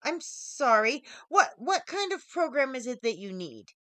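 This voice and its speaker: A woman voicing a polite, easily surprised female programmer who speaks haltingly in an audiobook story. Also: sits high, very animated, sharp tone, dry recording, studio quality, pitched above the performer's own voice, full character voice. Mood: surprised, apologetic. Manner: hesitant, halting, eager to please. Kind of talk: audiobook character dialogue.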